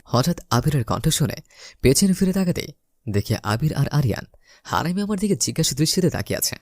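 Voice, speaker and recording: A man's voice: on the high side, sing-song, brisk, darkish tone, no room sound, studio quality. A young man narrating a romantic comedy story in the first person, theatrically acting out the lines. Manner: theatrical, acts out his lines.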